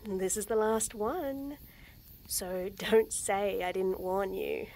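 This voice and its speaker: A young woman in a scripted roleplay audio, talking to the listener with nervous stammers, frequent giggles and soft whispers. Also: mid-range voice, slow, a little hiss or hum. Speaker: voice actress in a girlfriend audio.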